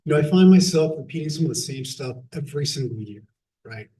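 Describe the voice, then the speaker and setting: A man, a council member, losing his voice and clearing his throat as he speaks plainly at a council meeting. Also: a little high, lively intonation, fast, dark tone, echoey room, far from the mic, poor audio.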